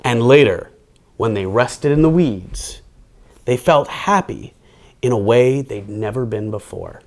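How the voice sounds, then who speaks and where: A man's voice: medium pitch, measured pace, darkish tone. A man hosting children's storytime, reading a picture book aloud with playful, theatrical delivery and casual asides.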